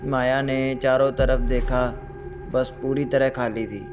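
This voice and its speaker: A man's voice: medium pitch, level tone, slow pace, music in the background. A man reading a scripted horror story as an audio narrator.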